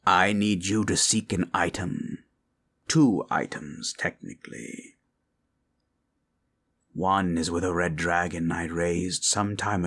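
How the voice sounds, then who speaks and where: A man voicing a grave dark sorcerer turned to good, solemn, measured and commanding, in a fantasy ASMR roleplay. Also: low-pitched, exaggerated voice. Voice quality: husky.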